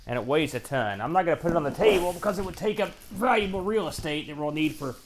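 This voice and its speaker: A man's voice: on the high side, expressive, fast talker, a crowd in the background. A man hosting a retro gaming show, giving casual, wry unboxing commentary in folksy slang as he thinks aloud while handling items.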